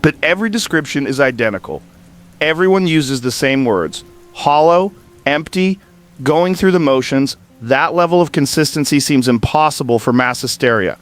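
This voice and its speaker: A man's voice: a little high, animated, moderate pace, natural voice. A man playing a curious, skeptical host of a news interview in a radio drama, probing and a little incredulous. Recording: music playing under the voice. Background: background music.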